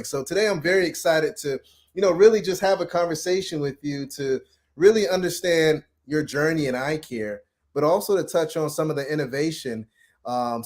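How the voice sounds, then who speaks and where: Guy hosting an interview, kinda higher voice, upbeat n effusive, real casual chat. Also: a little mellow. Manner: effusive, informal, addresses guest as man. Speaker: podcast host interviewing a guest.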